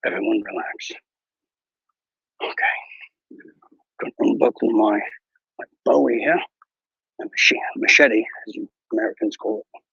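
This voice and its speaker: A man playing a bumbling, boastful wilderness ranger who hosts a mock nature show, talking in a mock Australian accent with hushed, mock-serious narration and a husky voice. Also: lowish voice, unhurried, long pauses, no room sound, highs cut off, exaggerated voice. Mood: hushed bravado. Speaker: mock wildlife show host. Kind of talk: improvised parody nature documentary.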